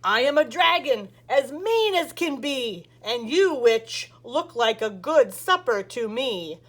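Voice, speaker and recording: A woman's voice: a little high, very animated, slow, fairly bright tone, heavily acted. A woman voicing a mean, scary male dragon in a children's storybook. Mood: menacing.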